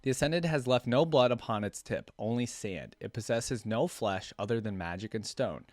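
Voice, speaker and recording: A man's voice: medium pitch, moderate pace, dry recording. A man hosting a reaction video, reading a story aloud and adding casual, musing asides.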